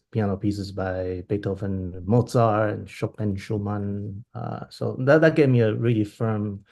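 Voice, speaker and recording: A man in his thirties from Taiwan speaks over a laptop webcam from a room at home. His voice sits a bit low. He answers thoughtfully, restarting phrases as he searches for the right word. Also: dark tone. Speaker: violinist guest. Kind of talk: video call interview. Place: home room with plain wall. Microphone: laptop webcam on video call.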